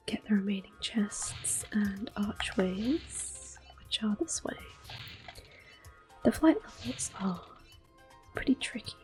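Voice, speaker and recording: A soft-spoken woman giving gentle ASMR gameplay commentary, often slipping into a whisper close to the microphone. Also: mid-range voice. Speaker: host of a gameplay series. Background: soft game music.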